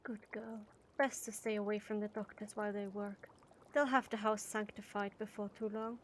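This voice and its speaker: A woman's voice: medium pitch, flat delivery, normal speed, slight background noise, close to an everyday voice. An actress playing a loving but firm village mother in a period audio drama, soothing yet stern, with formal phrasing. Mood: tender.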